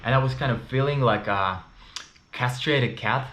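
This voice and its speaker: A man's voice: mid-range voice, moderate pace, fairly bright tone, slight background noise. A man in his thirties with a Russian and Ukrainian accent, a non-native English speaker telling his personal story to camera in a candid, chatty way with frequent fillers. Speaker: vlogger. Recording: roomy echo.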